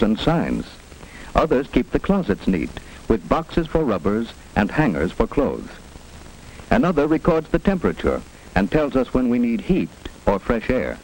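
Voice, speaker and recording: A man's voice: medium pitch, darkish tone. A man narrating a 1940s educational film in a simple, instructive manner suited to children. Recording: noisy 1940s film soundtrack with hiss.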